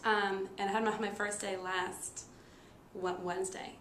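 A young woman shares a personal story in a casual, halting way, with a marked stutter that repeats sounds and stalls on words. Her pitch stays quite flat. She is recorded in an echoey room with some noise behind her. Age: 20s. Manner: halting, with frequent fillers. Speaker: nursing student and stuttering therapy client. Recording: roomy echo with noticeable background noise.

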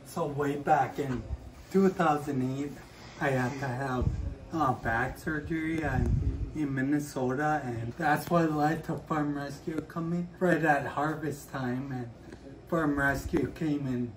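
A young adult farmer telling simply and slowly how a charity helped his family. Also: a little high, recorded from a distance, a little hiss or hum, poor audio. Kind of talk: interview answers.

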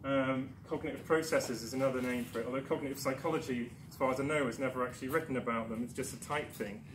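A man's voice: medium pitch, steady tone, quick pace. Man talking in an echoey lecture room. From Newcastle, England. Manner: chatty, self-deprecating, many ums and restarts. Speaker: conference speaker. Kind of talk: presentation talk. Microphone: far camera. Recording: echoey room with background noise.

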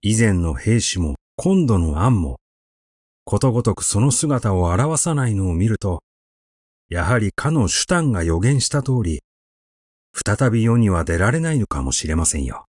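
A man reading literary fiction aloud as scripted narration, in a measured, dramatic storytelling manner. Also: lowish voice, sing-song, a little mellow, husky, no echo, studio quality. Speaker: audiobook reader. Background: music.

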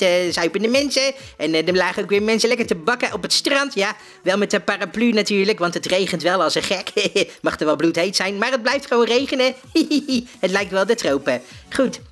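A man playing an excitable, easily flustered male podcast host in a comedy show, giggling, exclaiming and rambling hesitantly. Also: sits high, brisk. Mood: amused, chatty. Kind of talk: comic mock podcast presenting.

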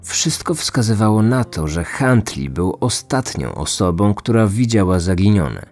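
A man's voice: on the low side, sing-song, a little slow, a little mellow, soft background music. A man narrating a scripted true-crime documentary as its host.

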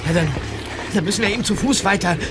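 A man's voice: high voice, fast talker, background noise, close to an everyday voice. A man playing a resolute young male detective leader in an audio drama, speaking urgently and precisely. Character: boy detective leader, resolute but increasingly panicked. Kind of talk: German audio drama acting. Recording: music under the dialogue.

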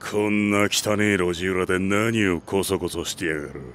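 An actor playing a menacing middle-aged alley-cat gang boss with drawling, intimidating gangster talk in a voice drama. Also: male voice, deep voice, fairly even intonation, moderate pace, fairly bright tone, slight background noise. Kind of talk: Japanese voice drama acting.

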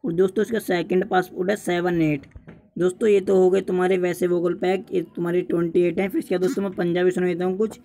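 A man's voice: high-pitched, level tone, quick pace, vocal fry, warm tone. A young man narrating a casual tutorial, repeatedly urging and addressing his viewers as friends. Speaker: tutorial YouTuber.

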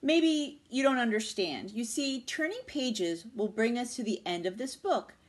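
Storybook role: frightened, lovable furry monster, male, played by a woman; high, swooping, dramatic pleas. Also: expressive, unhurried. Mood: alarmed, exasperated. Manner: dramatic, pleading, exclaiming. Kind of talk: children's picture book read-aloud.

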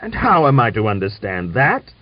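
A male narrator plays an irascible old general in an audiobook novel. He is hoarse and imperious, blustering out commands. The sound is dull and lo-fi. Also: medium pitch, wildly swooping pitch, normal speed, no room sound, voice pushed up. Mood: imperious, angry. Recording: dull lo-fi recording.

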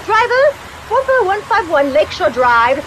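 A woman's voice: extremely high pitch, very animated, moderate pace. A woman playing a brash, sardonic, impatient young society woman in an audio drama. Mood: annoyed, firm. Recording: clear background noise.

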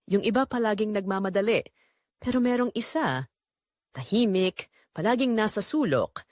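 Young female barista narrator acted by a woman for romance audio drama: reflective, quietly romantic, very varied intonation, dry recording. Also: mid-range voice, no echo, lightly acted. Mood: curious, observant. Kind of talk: first-person scripted audio drama narration.

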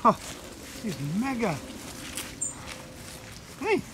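A man's voice: very high-pitched, big pitch swings, very slow. A man outdoors by a lake, chatting in a laid-back way and bantering with his brother. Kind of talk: casual chat. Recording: outdoor noise behind.

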